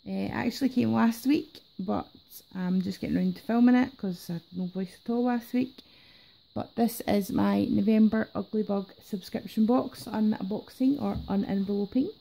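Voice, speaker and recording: Sick woman vlogger, gravelly and mellow, chatty unboxing at a table. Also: medium pitch, moderate pace, poor audio. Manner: chatty, enthusiastic about items. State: sick, voice affected. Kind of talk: casual unboxing chat. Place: indoors at a table. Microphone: camera filming her hands from above.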